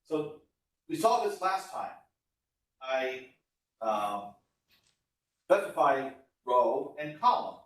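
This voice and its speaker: A man's voice of middling pitch rings heavily around a classroom, picked up some way from the microphone. He lectures like a university instructor, informal and conversational. He explains things one step at a time. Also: sing-song, takes it slowly, long pauses. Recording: echoey room, voice far from mic.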